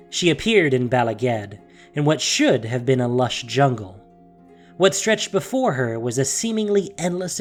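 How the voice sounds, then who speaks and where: A man reading scripted fantasy narration in a measured, solemn, dramatic way. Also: mid-range voice.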